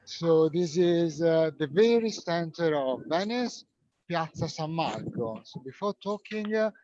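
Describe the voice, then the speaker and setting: A man's voice: fairly high pitch, animated, a little slow, a little mellow, no room sound. A man tour guide speaking outdoors in a city square on a phone camera, playful and joking. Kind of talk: live virtual tour. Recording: dry lo-fi phone audio.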